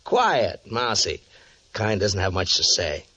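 An actor playing a brash, boastful, flirtatious private eye with slangy, cocksure talk in 1950s radio drama style. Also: male voice, lowish voice, sing-song, takes it slowly, pushed and bright, full character voice. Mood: knowing, ominous. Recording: dull, muffled old radio recording.

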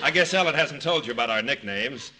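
A man's voice: mid-range voice, animated, fast, over a noisy crowd, close to an everyday voice. An actor playing a big, easygoing outdoorsman in a 1950s radio comedy, breezy and informal. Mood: hearty, friendly. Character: hearty, easygoing, strapping rugged man from an island. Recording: noisy, crackly vintage 1950s radio recording.

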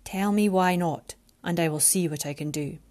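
A woman voicing a proud, cold winged man who is about to rule, speaking low and clipped. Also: normal speed, darkish tone, natural voice. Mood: stern.

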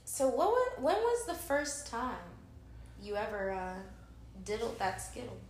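A young woman co-hosting a casual chat in a playful, teasing, unhurried way. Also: a little high, very animated, a little mellow, echoey room, a little hiss or hum. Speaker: podcast co-host.

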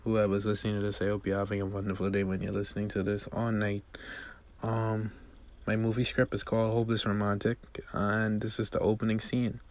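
A man's voice: lowish voice, completely flat delivery, fairly quick, a little mellow. A man reading a movie script aloud, narrating scene headings and action as its amateur writer.

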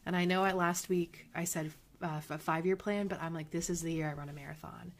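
A woman's voice: fairly low pitch, fairly even intonation, a little fast. A woman hosting her own podcast, talking in a casual, upbeat and encouraging way with a slightly nasal voice. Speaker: podcast host. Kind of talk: casual motivational monologue.

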